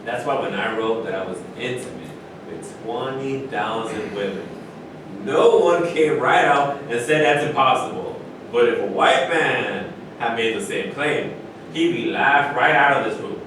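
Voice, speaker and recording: A man in a staged play reading, playing a candid, opinionated basketball legend with deliberate, emphatic pauses. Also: lightly acted. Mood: wry. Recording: very echoey distant room, rumbling noise.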